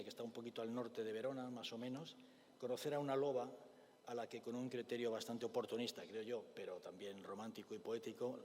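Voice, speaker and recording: A man's voice: mid-range voice, a little mellow, slight background noise. Spanish man lecturing in a reverberant hall. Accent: Spain. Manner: measured, thoughtful, with frequent hesitation fillers. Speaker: clinician giving a conference talk. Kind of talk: forum lecture with storytelling.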